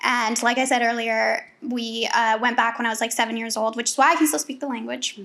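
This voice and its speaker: A woman with a notably high voice shares a personal story on a casual panel talk show. She tells it in a lively way, slipping into the voices of the people she quotes. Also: brisk, vocal fry. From United States (born in Bulgaria, raised in Seattle). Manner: animated storytelling, acts out quoted dialogue. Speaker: co-host.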